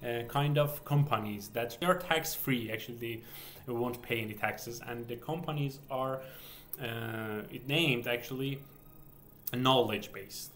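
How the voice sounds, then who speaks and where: A man's voice: mid-range voice, a little slow, a bit bright. A man in his thirties from Iran with a Persian accent, speaking English as a second language and hosting a casual screen-share walkthrough full of fillers and restarts. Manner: hesitant, many fillers and restarts. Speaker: game developer vlogger. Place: home office, indoors.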